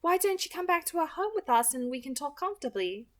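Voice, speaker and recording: Female reader as vampire family matriarch, welcoming, polite, raised pitch, storybook dialogue. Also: sing-song, quick pace.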